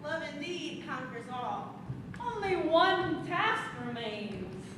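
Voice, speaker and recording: Stage chorus: actress as grand declaiming narrator, drawn-out delivery, far-off noisy hall recording. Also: female voice, high voice, very animated, heavily acted. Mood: triumphant. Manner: slow, portentous declaiming. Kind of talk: community theater chorus narration. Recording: distant echoey stage, noisy.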